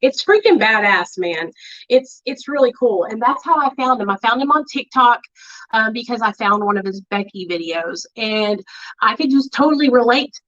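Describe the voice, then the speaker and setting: A woman hosts a casual livestream with a bright, fairly high voice. She talks in an excited, chatty way and fills her speech with "like" and "um". The audio is muffled and lo-fi. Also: moderate pace. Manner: chatty and excited, with filler words. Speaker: livestream host.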